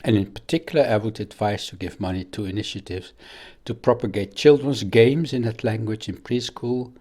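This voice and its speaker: A man's voice: on the low side, moderate pace, warm tone. An older man from the Netherlands, giving a prepared academic statement as a professor. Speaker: professor of African languages.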